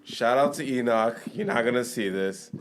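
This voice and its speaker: A young man co-hosting a podcast, chatting casually, halting and restarting as he talks. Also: medium pitch, a little hiss or hum. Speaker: podcast co-host. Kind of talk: casual chat.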